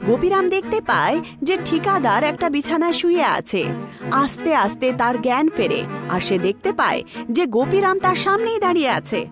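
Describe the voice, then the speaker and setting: A woman's voice: high-pitched, very animated, brisk. A woman narrating a scripted cartoon story as its storyteller. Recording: music behind the voice. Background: music.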